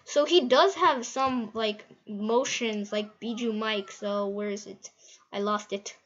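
A woman's voice: mid-range voice, slow, fairly bright tone, dull audio. A woman giving casual, rambling, easily amazed gameplay commentary and giggling as she goes. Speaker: gaming video host.

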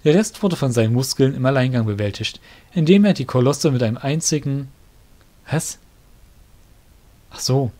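A man's voice: mid-range voice, lively intonation, moderate pace, long pauses, warm tone, slight background noise. A man reading scripted fantasy narration as an audio drama narrator, breaking off to correct himself.

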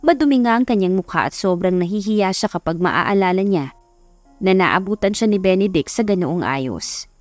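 A woman's voice: mid-range voice, expressive, moderate pace, dry recording. Female audiobook narrator for romance fiction, mid-range voice, dry recording with music bed. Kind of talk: scripted romance story narration. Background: background music.

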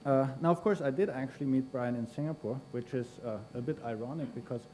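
A man's voice: medium pitch, fast talker, a little mellow, slight background noise. A man, introducing a speaker at a lectern in a university hall, with many fillers. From Austria. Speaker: conference host introducing a speaker. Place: large university lecture hall. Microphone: lectern microphone.

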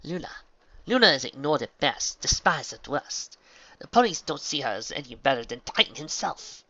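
A man's voice: a little high, very animated, normal speed, pushed and bright, dry recording, dull audio, voice pushed up, full character voice. Man as an intense, awestruck pony captain. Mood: scornful. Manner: intense, ceremonious, dramatic. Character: earnest, awed female pony army captain.

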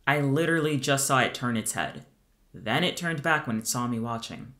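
A male actor plays an exasperated young man in an audio drama. He is sardonic and scolding, yet protective, with a medium-pitched voice and natural, conversational delivery. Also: expressive, normal speed, pushed and bright. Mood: wary. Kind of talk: naturalistic audio fiction podcast acting.

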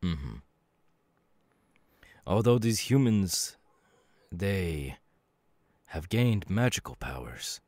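Demon warrior, male actor, audio roleplay: low-pitched, knowing, calmly menacing, halting dramatic delivery, dry recording. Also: dry recording, heavily acted. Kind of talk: ASMR audio roleplay monologue.

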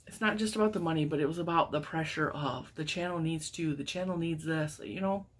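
A woman's voice: sits low, a little fast, breathy edge. A woman in her forties hosting her crochet channel in casual chat, wheezy and congested, talking with lots of laughs. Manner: chatty, laughs often, animated hand gestures. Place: home craft room lined with yarn shelves.

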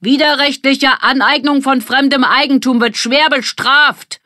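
A woman's voice: sits high, steady tone, a little slow, pushed and bright, no room sound, studio quality, voice pushed up. A woman voicing a brainy, pompous schoolboy who talks in a precise, formal, matter-of-fact way. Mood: indignant.

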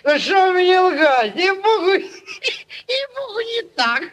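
Пьяного казака с очень высоким голосом. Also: male voice, extremely high pitch, sing-song, slow pace, a bit bright, slight background noise, full character voice. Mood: tipsy, merry. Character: merry drunken middle-aged Cossack villager, boastful and muddled. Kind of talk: 1940s Soviet radio play acting. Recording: muffled 1940s radio recording.

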